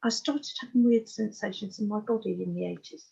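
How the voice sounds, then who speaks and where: Husky Englishwoman in her sixties, frank storyteller. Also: mid-range voice, brisk, dark tone, muffled recording. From Oxfordshire, England. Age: 60s. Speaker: interview guest. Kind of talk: candid personal life story in conversation.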